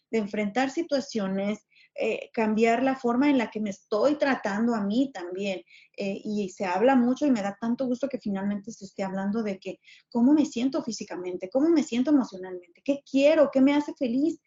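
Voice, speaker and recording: A woman's voice: fairly high pitch, warm tone. A Mexican woman in her forties, a podcast guest telling her story earnestly and with emphasis. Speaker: guest, journalist and businesswoman. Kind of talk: podcast interview conversation. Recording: dull lo-fi sound.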